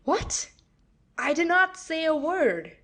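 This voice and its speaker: A woman's voice: very high voice, sing-song, slow pace, a bit bright, heavily acted. A woman playing a flippant, defiant young wife in a classic comedy audio play, haughty, theatrical and mock-innocent.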